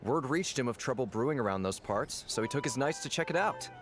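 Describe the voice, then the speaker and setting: A man voicing an affable, theatrical tavern owner in a video game, with a playful, jovial and expressive manner. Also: mid-range voice, fast talker, natural voice. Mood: easygoing, assured. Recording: music behind the voice.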